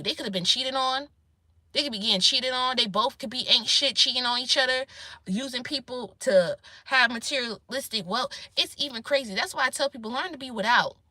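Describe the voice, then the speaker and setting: A woman with a squeaky, fairly high voice that has a sharp, bright edge. She talks straight to camera in a blunt, preachy way and swears freely. The sound is dry and close. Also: no echo. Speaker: self-employed vlogger giving life advice. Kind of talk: casual monologue to camera.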